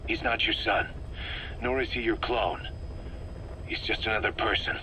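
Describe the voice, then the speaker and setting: A male actor voicing a stern, pragmatic military subcommander in a video game, speaking in a brisk, matter-of-fact, clipped way on a taped briefing. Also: fairly low pitch, fairly even intonation, natural voice. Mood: clinical. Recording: cassette recording with background noise.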